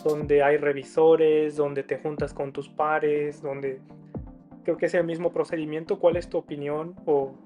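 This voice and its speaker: A man interviews a guest in a relaxed way, hedging and pausing as he puts his questions together. His voice sits a little high for a man, and faint music plays under him. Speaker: interview host.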